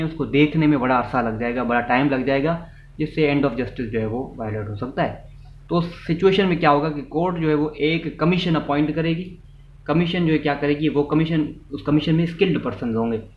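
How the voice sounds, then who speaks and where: A Pakistani man lectures informally in a fairly high voice, recorded in an echoey, slightly muffled space. He explains step by step, restating each point and switching into English for legal terms. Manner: repeats and rephrases points. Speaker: law lecturer. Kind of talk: informal explanatory law lesson. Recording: echoey room, muffled, faint noise.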